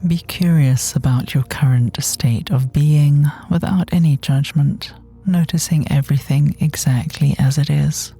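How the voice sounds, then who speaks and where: A woman with a soft, gentle, breathy voice that often nears a whisper, guiding a body scan meditation in a soothing way with long pauses. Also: low-pitched, fairly even intonation. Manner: soothing, unhurried, long pauses between phrases. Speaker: guided meditation teacher. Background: soft meditation music.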